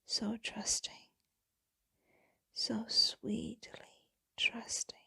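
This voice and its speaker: A devoted, sorrowful vampire woman, played by a female voice actor murmurs to her lover in an ASMR roleplay. Her voice is very husky and breathy, slipping between whisper and gravelly fry. It sounds close and dry, with a tender, brooding temper. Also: a little high, monotone, no echo, exaggerated voice. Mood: adoring, longing. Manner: slow, brooding, hushed delivery. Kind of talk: intimate ASMR roleplay monologue.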